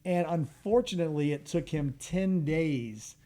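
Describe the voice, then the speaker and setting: Man from Texas, higher-pitched, animated storyteller who plays out dialogue. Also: unhurried. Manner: animated storyteller who acts out quoted dialogue, stumbles and restarts. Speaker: wine bar owner, guest. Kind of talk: casual radio interview chat. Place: radio studio.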